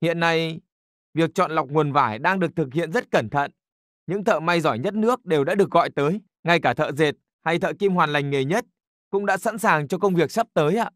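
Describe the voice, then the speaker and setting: A man's voice: fairly high pitch, normal speed, a bit bright, no room sound, studio quality, natural voice. A man voicing a diligent, deferential court official in a historical cartoon, reporting formally, precisely and respectfully.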